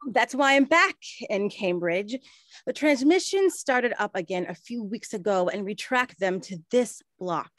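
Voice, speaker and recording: A woman's voice: mid-range voice, animated, unhurried, fairly bright tone, no room sound. A woman playing an earnest, proper 1920s woman in an audio drama, explaining things carefully.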